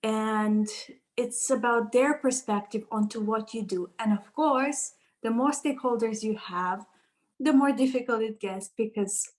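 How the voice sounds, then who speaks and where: A woman's voice: on the high side, a little mellow, poor audio. A woman explaining her point as a panelist in a discussion.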